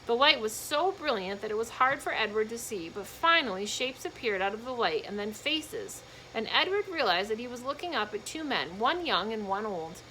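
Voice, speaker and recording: Bright-voiced woman reading a children's story. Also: on the high side, sing-song, normal speed, few pauses, a little hiss or hum, low-quality recording. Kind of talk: children's storybook read-aloud with casual asides.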